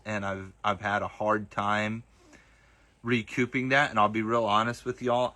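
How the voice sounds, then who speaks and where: Man, soft Southern accent, halting livestream host, lower pitch, bright tone. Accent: Southern US, partly masked. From Southern United States. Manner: hesitant, many fillers and restarts. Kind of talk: casual livestream Q and A.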